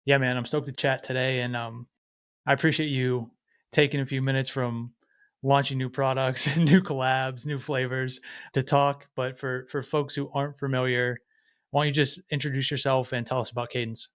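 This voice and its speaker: A man hosting a podcast, reading a scripted intro then asking relaxed, friendly questions. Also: mid-range voice, moderate pace, smooth. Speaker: podcast host.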